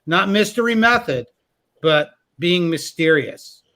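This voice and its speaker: Host, male, slightly high voice, casual jokey panel talk. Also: animated, takes it slowly, a bit bright. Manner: joking, teasing sales pitch. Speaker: host of live call-in stream.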